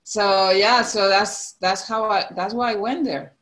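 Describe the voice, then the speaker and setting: A woman's voice: medium pitch, steady tone, a little slow, fairly bright tone. A woman in her forties from the Canary Islands with a Spanish accent, a guest in a casual interview who laughs often and restarts her sentences. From Spain, Canary Islands. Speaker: podcast guest.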